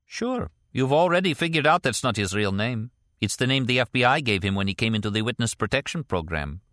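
A sharp, teasing young woman of an audiobook as a man acts her out. The pitch sits raised above the reader's own, with a pert, forthright delivery. The recording is dry. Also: lively intonation, brisk, dry recording, lightly acted. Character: sharp, teasing adult daughter of a defector.

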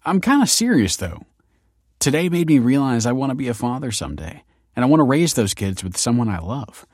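Audiobook young lead man narrating: sincere, upbeat, easygoing, lightly raised pitch, peacemaker manner. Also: big pitch swings, a little fast, darkish tone, no echo, clean studio sound. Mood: smitten, sincere. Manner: conciliatory, eager, sincere.

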